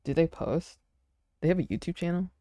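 A woman streamer chatting casually with friends while she draws, relaxed and laughing often with lots of fillers. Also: on the low side, brisk, no room sound, studio quality. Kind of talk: casual chat while drawing.

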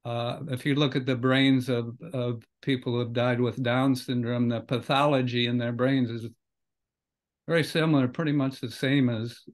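A man's voice: medium pitch, flat delivery, moderate pace. Older male host, casual interviewer on a video call, easygoing and hedging, mid-range voice. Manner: conversational, loosely phrased with hedges. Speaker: podcast host. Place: home study with bookshelves. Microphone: video call webcam.

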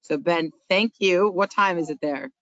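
A woman hosting and introducing a webinar over a video call, friendly and brisk. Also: lowish voice, fairly bright tone, dry recording. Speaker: webinar host. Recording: dry, slightly dull video-call audio.

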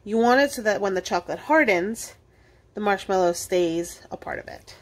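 A woman's voice: mid-range voice, lively intonation, moderate pace. Woman giving a casual cooking demo. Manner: casual, explanatory, talking while working with hands. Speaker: cooking demonstrator, host of a library cooking show. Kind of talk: informal step-by-step cooking tutorial. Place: indoors at a kitchen counter.

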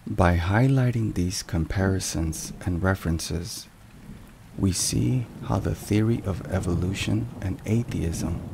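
A man narrating scripted religious ASMR in a deliberate, measured way. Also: low voice, vocal fry, background noise. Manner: slow, deliberate, measured phrasing. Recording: steady rain and thunderstorm sounds behind. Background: rain and thunder.

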